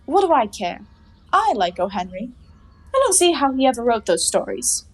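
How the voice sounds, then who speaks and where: Sassy young 1920s girl, high voice, flippant and bantery, comedy radio play. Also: very animated, moderate pace. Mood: breezy, flippant. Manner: breezy, bantering, playfully sarcastic. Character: flippant, quick-witted young flapper woman, teasing and evasive.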